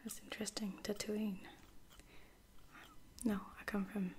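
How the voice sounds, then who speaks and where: A woman playing a caring, apologetic space-soldier lieutenant in a whispered ASMR roleplay, speaking hesitantly and reassuringly in a breathy whisper. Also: mid-range voice, flat delivery, slight background noise, natural voice. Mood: soft, caring. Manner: hesitant, gentle, reassuring, with soft-spoken pauses.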